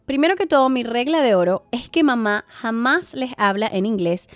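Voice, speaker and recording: Earnest woman, high and dry. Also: lively intonation, normal speed, dry recording, faint music behind. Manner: warm, earnest, advising parents with many asides. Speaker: podcast host. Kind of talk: casual talk to listeners.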